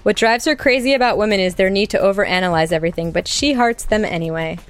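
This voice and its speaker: A woman's voice: medium pitch, fast, soft background music. A woman hosting a podcast dating game, reading contestant bios in a scripted way. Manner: reads contestant bios smoothly. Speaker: podcast host.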